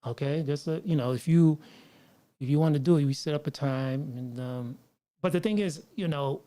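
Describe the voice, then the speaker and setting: New guy rambling on a livestream, lots of restarts, kinda highish mellow voice. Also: normal speed. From United States. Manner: rambling, frequent restarts and asides to viewers. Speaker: livestream host. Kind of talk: casual live stream chat. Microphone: mixer setup with line noise.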